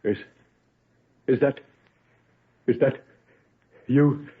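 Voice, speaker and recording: An actor playing an ailing, obsessed scientist son in an old-time radio drama, secretive yet grandiose, speaking in halting, broken phrases. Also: male voice, mid-range voice, breathy edge. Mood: weak, shaken, evasive. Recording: tinny, band-limited old radio recording.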